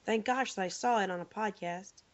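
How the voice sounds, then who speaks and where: A woman co-hosting a podcast with a hoarse voice from laryngitis, joking and bantering in casual chat. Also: mid-range voice, normal speed. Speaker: podcast co-host. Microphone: remote call microphone. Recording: dull lo-fi online call audio.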